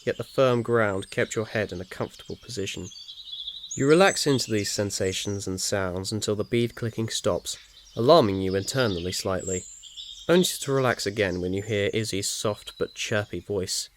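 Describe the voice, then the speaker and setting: Male narrator, low pitch, soothing measured story reading, faint nature ambience with birds and insects. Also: slight background noise. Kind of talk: scripted second-person ASMR story narration.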